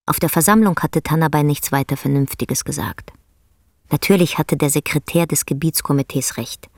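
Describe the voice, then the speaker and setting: An actress as a grave, contemplative storyteller, narrating a radio play in a husky, breathy voice. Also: female voice, deep voice, normal speed, no echo, clean studio sound. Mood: grave, reflective.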